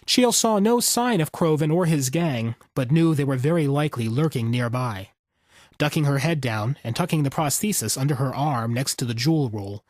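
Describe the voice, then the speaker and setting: A man's voice: mid-range voice, dry recording. Man narrating fiction, close and dry. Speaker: audiobook narrator.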